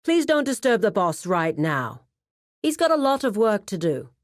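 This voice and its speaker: Woman acting a composed cartel administrator, expressively pitched. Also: very animated, no room sound, clean studio sound, lightly acted. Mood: firm, polite warning. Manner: formal, measured, authoritative. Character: composed, businesslike female administrator of a crime cartel. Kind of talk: scripted video game character dialogue.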